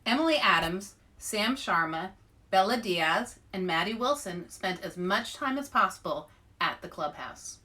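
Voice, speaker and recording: A woman presenting a children's read-aloud in an animated way, speaking directly to young listeners as an author and host. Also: mid-range voice, unhurried.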